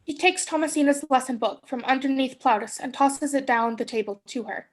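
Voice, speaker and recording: Casting: female stage-directions reader, notably high voice, scripted narration. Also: brisk.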